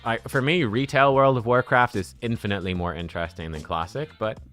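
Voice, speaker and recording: A man's voice: lowish voice, brisk, fairly bright tone, soft background music. A man in his thirties chatting casually with viewers on a game livestream, rambling and joking at his own expense. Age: 30s.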